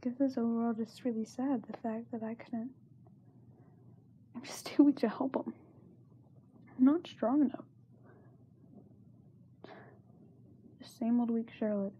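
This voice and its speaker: A young woman playing a frightened, self-doubting young singer in a halting diary monologue, often dropping to a whisper. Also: a little high, steady tone, dark tone, highs cut off, close to an everyday voice. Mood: scared, sad. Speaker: amateur voice actor. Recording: noisy makeshift amateur microphone recording.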